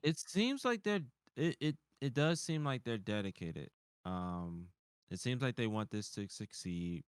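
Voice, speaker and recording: A man with a smooth, fairly low voice in casual conversation as a podcast co-host. He talks informally and circles back over his phrases. The sound is dry and close. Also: animated, unhurried, no echo. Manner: informal, repeats phrases as he goes. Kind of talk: casual chat.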